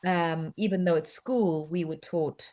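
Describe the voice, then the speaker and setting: Thoughtful low-voiced woman, Greek native. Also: unhurried, dark tone. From Cyprus. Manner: thoughtful, hesitant, many fillers and restarts. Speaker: guest actress. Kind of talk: conversational interview.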